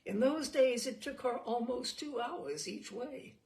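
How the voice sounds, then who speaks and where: A woman reading a literary short story aloud expressively, with deliberate dramatic emphasis and pauses. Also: on the high side, darkish tone. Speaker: storyteller, audiobook reader.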